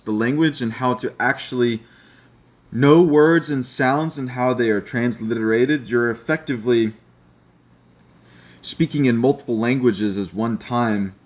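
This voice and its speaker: A man giving a screen-share tutorial, talking slowly and checking in with his viewers. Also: medium pitch. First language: not Hebrew. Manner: slow, checking in with "okay?". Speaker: online teacher.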